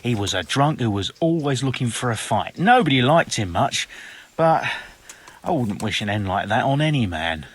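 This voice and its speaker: An actor playing a frank, respectful medieval villager in a video game, with a husky voice. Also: male voice, medium pitch, big pitch swings, normal speed. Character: frank male medieval villager, deferential to officials. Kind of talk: video game dialogue acting.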